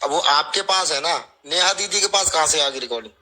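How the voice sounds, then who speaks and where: A man's voice: on the high side, expressive, fairly quick, pushed and bright. A man arguing casually on a recorded group voice call. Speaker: online video creator. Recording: very noisy call with clicks.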